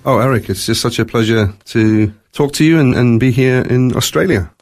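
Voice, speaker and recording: A man's voice: medium pitch, normal speed, darkish tone, faint music behind. A man with a faint Scottish accent telling his personal story as an interview guest, in a reflective, conversational way. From born in Scotland, moved to South Africa at three.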